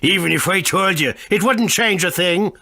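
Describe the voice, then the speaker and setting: A woman voicing a grumpy, excitable little furry creature in a video game, with a raspy voice and blustering, indignant manner. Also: lowish voice, moderate pace, no echo. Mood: grumpy, dismissive. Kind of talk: video game character acting.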